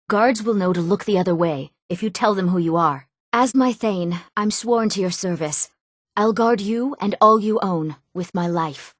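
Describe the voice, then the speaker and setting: Fantasy game role: earnest, eager young townswoman voiced by a woman, medium pitch, clearly enunciated, dry studio sound. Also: dry recording, natural voice. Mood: solemn, loyal. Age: young adult. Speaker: video game voice actor. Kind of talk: scripted fantasy game character dialogue.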